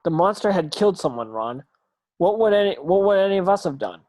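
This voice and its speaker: An actor plays an earnest young wizard in an amateur drama reading. The schoolboy speaks with urgency in a natural, medium-pitched voice. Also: sing-song, a little fast, a little mellow, natural voice. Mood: defensive. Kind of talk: amateur online table read of a screenplay.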